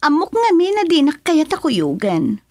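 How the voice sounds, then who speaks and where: A spoiled, complaining rich woman in an Ilocano radio serial. Her high voice swoops expressively in an Ilocano accent, with a dry, close sound. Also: huge pitch swings, normal speed, darkish tone, no echo, heavily acted. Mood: sulky. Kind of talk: Ilocano radio drama acting.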